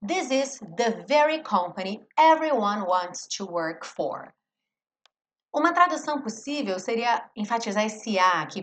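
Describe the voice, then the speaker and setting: A woman teaching an English lesson in a live stream, explaining song lyrics and slowing down to read English phrases with emphasis. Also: medium pitch, slight background noise. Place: home studio, live stream.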